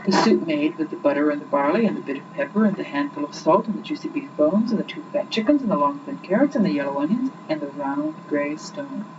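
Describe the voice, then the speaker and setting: Young mom reading a storybook out loud, really swoopy voice, noisy old tape, far from mic. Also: female voice, low voice, quick pace, few pauses. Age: young adult. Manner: rhythmic, expressive storytelling with repetition. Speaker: mother reading stories aloud to children. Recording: muffled, noisy 1980s home recording, distant mic.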